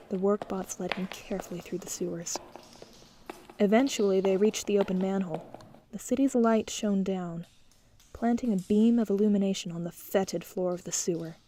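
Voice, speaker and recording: Measured woman narrating an audiobook. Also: mid-range voice. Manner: even, measured storytelling. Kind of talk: scripted narration.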